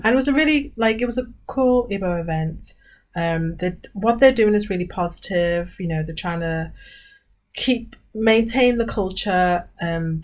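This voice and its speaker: A British woman, co-hosting a podcast and telling stories in a casual, animated chat with lots of ums. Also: on the low side. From Britain. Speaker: podcast co-host.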